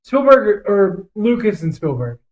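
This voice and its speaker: Blunt, high-pitched man chatting on a podcast. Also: big pitch swings, moderate pace, rough recording. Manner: blunt, foul-mouthed. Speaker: podcast co-host. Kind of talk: casual podcast chat, ranking list.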